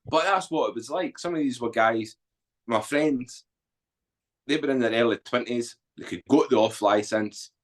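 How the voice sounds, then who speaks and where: A man's voice: medium pitch, normal speed. A man in his forties from Edinburgh with a Scottish accent, a podcast guest telling his life story in a blunt, earthy way with frequent ums. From Scotland. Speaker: guest, author and former soldier. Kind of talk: candid storytelling interview.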